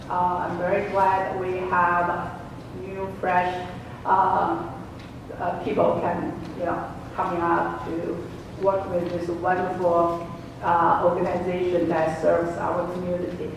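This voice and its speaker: A middle-aged woman giving an impromptu thank-you speech, searching for words, with non-native English phrasing. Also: lowish voice. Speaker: departing co-president of a parents association. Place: large room at a community seminar. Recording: very echoey room, distant mic, clear noise.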